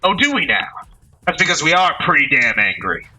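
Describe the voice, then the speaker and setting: A man voicing a hot-tempered young male gang leader who shouts and snarls threats. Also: on the high side, big pitch swings, a little fast, breathy edge, soft background music, pitched above the performer's own voice, heavily acted, poor audio. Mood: snarling.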